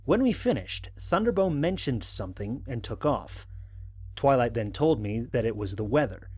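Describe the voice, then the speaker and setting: A man plays a dry, wry astronaut captain in an audio drama. His voice is medium in pitch with a husky edge. It moves up and down expressively while keeping a measured storyteller's manner. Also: sing-song, darkish tone. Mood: wry, reflective. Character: wry human astronaut captain dictating mission logs. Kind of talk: audio drama narration as log entries.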